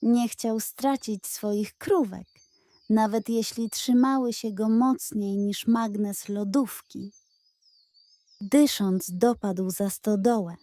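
A woman tells a children's bedtime story in a gentle, expressive voice. She speaks slowly, her tone is mellow and pitched a little high, and the recording is dry and close. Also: no room sound.

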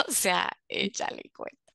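A woman in her forties with a Mexican accent, telling her own story casually and haltingly over a headset on a video call. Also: bright, forceful tone, dry recording, clean studio sound. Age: 40s. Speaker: migrant support worker. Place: living room indoors.

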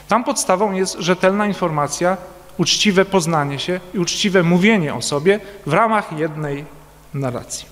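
A man giving a formal academic conference talk at a lectern in a large church hall, measured and deliberate, pausing with hesitant fillers between phrases. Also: fairly high pitch, fairly bright tone. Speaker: conference speaker. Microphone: standing at a lectern, recorded by a distant camera. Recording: long hall reverb from a distant camera.

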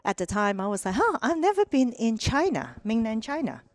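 A middle-aged woman from Hong Kong with a Hong Kong accent, telling stories as a podcast guest on a headset mic, animated and lively, often asking "right?". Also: a little high, fairly quick, dry recording. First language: Cantonese. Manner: animated, acts out quoted voices, frequent "right?". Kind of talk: casual interview storytelling. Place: sunny room with large windows.

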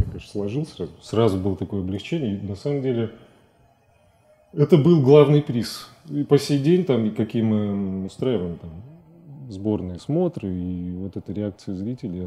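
A middle-aged man talking reflectively about his work as an interview guest in a studio, with halting phrasing. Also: on the low side, warm tone, breathy edge. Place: TV studio, seated at a desk.

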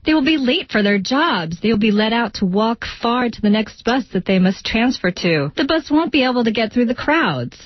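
Husky, worried working-class bus rider, a woman acting. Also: on the high side, sing-song, normal speed, few pauses, dry recording. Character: worried working-poor bus rider and parent, pleading for others. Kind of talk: readers theater monologue. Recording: dull, dry lo-fi radio recording.

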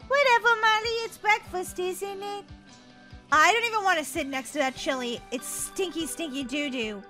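A woman's voice: very high voice, moderate pace, sharp tone, soft background music. A woman voicing a playful, whiny, sassy young girl in an exaggerated childlike manner, role-playing over a video game. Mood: grumbling. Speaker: gaming channel host.

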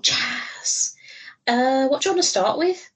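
A woman's voice: high voice, animated, slow, husky, in a whisper, rough recording. Chatty woman with a stuffy cold. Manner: chatty, giggly, swears freely. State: nasal from a cold. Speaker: podcast co-host. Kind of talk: casual chat.

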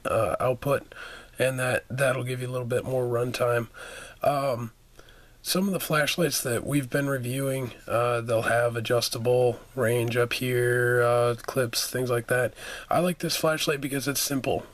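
A man with a hoarse, under-the-weather voice, reviewing gear in an informal, halting, plain-spoken way as host of a video. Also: medium pitch. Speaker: product reviewer and channel host. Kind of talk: casual hands-on product review. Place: indoors, carpeted room.

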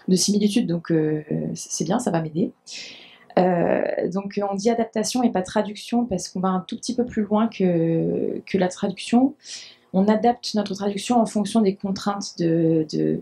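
A woman in her thirties speaks on a panel in a university lecture hall, holding the mic in her hand. Her voice is mellow and a little gravelly. She talks in a hesitant way, with many fillers between her words. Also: on the low side. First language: French. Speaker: dubbing adapter speaking on a panel. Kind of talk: conference panel talk. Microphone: handheld mic.

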